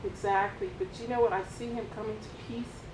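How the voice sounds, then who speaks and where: A woman's voice: steady tone, brisk. A woman sharing her family's story on a panel after a film screening, talking in an earnest, heartfelt, exclamatory way. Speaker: relative of a veteran speaking on a panel. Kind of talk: emotional personal sharing. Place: large room at a film screening. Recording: echoey hall with background noise and rumble.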